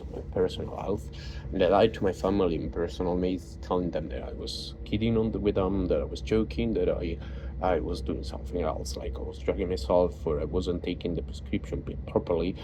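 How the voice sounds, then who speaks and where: A man with an Italian accent, a native Italian speaker, talking in a halting personal monologue full of fillers and restarts. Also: deep voice. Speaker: vlogger. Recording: noisy background.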